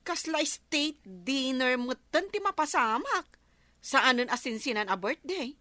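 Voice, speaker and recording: Impressionable village friend, comedy radio drama female actor: high, forceful, drawn-out, fussing reactions. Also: big pitch swings, no room sound, full character voice. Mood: awed, fussing.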